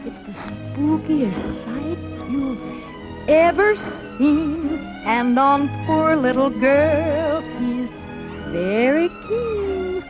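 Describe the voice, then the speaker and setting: A woman's voice: high-pitched, lively intonation, drawn-out words. A woman singing a spooky novelty song in a vintage style. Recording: dull old record with music.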